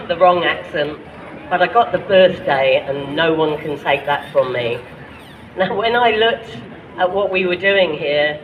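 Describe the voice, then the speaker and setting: A man with a London accent, from Ireland, reading his own poetry at a public gathering with passion and deliberate rhetorical questions. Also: sits high, narrow, tinny audio, slight background noise. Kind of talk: spoken-word poetry reading.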